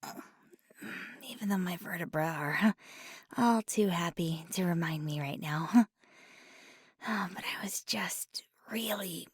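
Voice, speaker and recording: A woman playing a nervous, earnest paranormal investigator in an ASMR roleplay, speaking softly in a hesitant, stammering, rambling way. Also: lowish voice, slow, long pauses, breathy edge, no room sound, studio quality. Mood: tired, sheepish. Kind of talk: ASMR roleplay, intimate one-sided dialogue.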